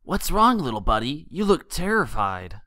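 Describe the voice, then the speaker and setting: A man's voice: mid-range voice, very animated, normal speed, sharp tone, dry recording. A man voicing a bold, protective young female pegasus pony in a storybook, with a casual, reassuring manner. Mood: concerned.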